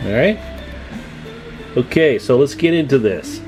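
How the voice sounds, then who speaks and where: A man's voice: medium pitch, lively intonation, unhurried, a little mellow, soft background music. A man talking casually and informally as he hosts a hands-on radio repair demonstration, in a chatty, folksy manner. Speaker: host of a CB radio repair channel.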